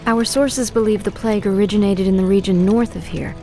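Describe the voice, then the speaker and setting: An actress playing a poised, thoughtful young sorceress in a fantasy video game, composed and articulate. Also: female voice, mid-range voice, steady tone, fairly quick, warm tone, faint music behind, lightly acted. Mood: concerned.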